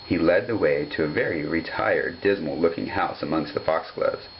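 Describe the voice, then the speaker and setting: A man's voice: deep voice, moderate pace. A man narrating a children's story from the page, on a dull home recording with some hum. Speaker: storybook reader.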